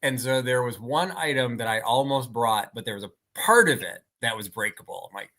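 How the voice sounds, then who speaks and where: Bright-voiced chatty man, getting over a sinus infection. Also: mid-range voice, animated, a little fast. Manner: chatty, laughs often. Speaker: live sale co-host. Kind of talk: casual live-stream chat.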